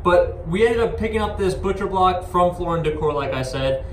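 A man's voice: sits high, lively intonation, moderate pace. A man in his twenties talking casually to camera, explaining things informally. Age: 20s. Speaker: vlogger. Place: inside an empty box truck. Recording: echoey metal space, background noise.

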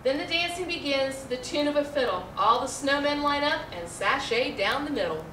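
High-pitched American woman reading a storybook. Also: lively intonation, a little fast. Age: middle-aged. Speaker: librarian reading a picture book aloud. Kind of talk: children's storybook read-aloud. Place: indoor room, seated before a backdrop. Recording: roomy, distant mic, faint noise.

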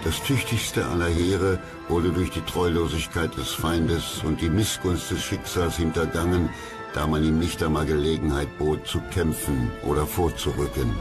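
A man narrates a documentary in an extremely deep voice. His delivery is scripted storytelling, and loud music plays underneath him throughout. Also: fairly even intonation, normal speed. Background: loud background music.

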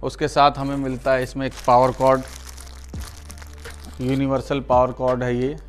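A man's voice: mid-range voice, monotone, moderate pace, soft background music. An Indian man hosting a product unboxing and demonstration, explaining things step by step to viewers, often repeating his points and addressing them in a friendly, familiar way. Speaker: product reviewer and channel host.

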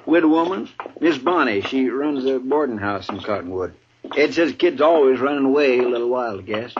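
An actor playing a folksy, hesitant frontier deputy with a rural American accent in a 1950s radio drama. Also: male voice, medium pitch, lively intonation, normal speed, lightly acted. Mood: hesitant, chatty. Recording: noisy, muffled old radio broadcast.

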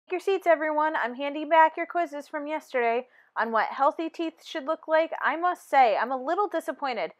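A woman's voice: sits high, expressive, moderate pace, few pauses. A woman voicing an encouraging dentist teacher who explains things to a class in a storybook. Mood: instructive. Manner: instructive classroom delivery.

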